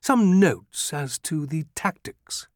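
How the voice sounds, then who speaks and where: A pompous, wordy colonel gushes with awe in a lifted, sing-song voice, put on by a man reading a story. He hedges and circles each thought, never getting to the point quickly. The sound is close and dry. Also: a little high, animated, no room sound, pitched above the performer's own voice. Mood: earnest, fawning. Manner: wordy, hesitant, awestruck. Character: pompous, fussy, gushing foreign colonel, middle-aged man.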